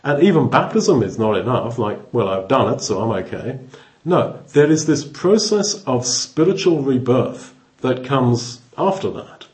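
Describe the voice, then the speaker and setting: A man teaches scripture at a middling pitch. He speaks thoughtfully and explains verses word by word. He often restarts his phrases as he works through an idea. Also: expressive, measured pace. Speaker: Bible teacher. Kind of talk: expository Bible teaching.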